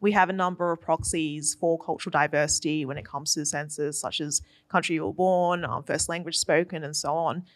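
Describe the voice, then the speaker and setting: A woman's voice: deep voice, fairly even intonation, a little fast, hardly any pauses. An Australian woman in her young adult years speaks on a discussion panel. Her delivery is earnest and articulate, with the odd "um", and the sound is dry and close with little room echo. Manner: earnest, articulate, uses fillers.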